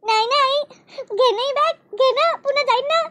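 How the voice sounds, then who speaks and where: A woman's voice: very high voice, moderate pace, a bit bright, no room sound. A woman voicing an eager, stubborn little schoolgirl in a comedy cartoon, pleading and repeating herself. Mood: pleading, whiny. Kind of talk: Marathi comedy cartoon dub.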